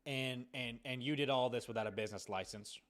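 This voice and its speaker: A stern American man put on by a prank caller leans on a child with officious legal threats. He presses his points and repeats them, in a mid-pitched voice. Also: a little fast, a bit bright, close to an everyday voice. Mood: officious, pressing. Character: stern, bullying adult threatening a kid with lawsuit. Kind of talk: prank phone call.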